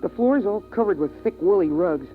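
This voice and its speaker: A man's voice: high-pitched, lively intonation, normal speed. A young male actor playing an earnest, wondering boy in a 1950s radio drama, with plain, homespun phrasing. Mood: reflective. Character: earnest, wondering young boy narrating a bleak frozen world. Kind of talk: 1950s radio drama acting and first-person narration. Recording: tinny vintage radio recording with music.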